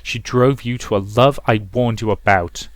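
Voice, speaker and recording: A man voicing a possessive, sinister ghostly mother in a visual novel, slow, drawn-out and cloying. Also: medium pitch, dry recording, natural voice. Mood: cold, smothering.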